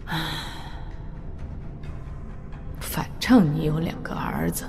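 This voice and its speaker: An actress playing a shrewd, imperious old empress in an audio drama, speaking in a regal, deliberate manner. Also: female voice, deep voice, huge pitch swings, gravelly, darkish tone, whispered, exaggerated voice. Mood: brooding. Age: older. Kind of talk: Chinese audio drama acting. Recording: long reverb, music behind.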